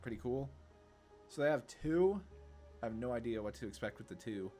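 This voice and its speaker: A man's voice: mid-range voice, animated, moderate pace, mellow. Casual man commentating gameplay over music. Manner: laid-back, thinking aloud. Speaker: gaming content creator.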